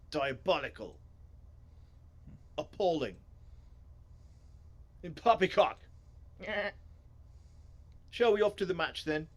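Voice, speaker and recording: A man's voice: on the high side, pitched above the performer's own voice. A man voicing a snooty lad in a story game, dramatic and pompous in manner. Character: snooty, theatrical British cricket-fan lad, bored by books.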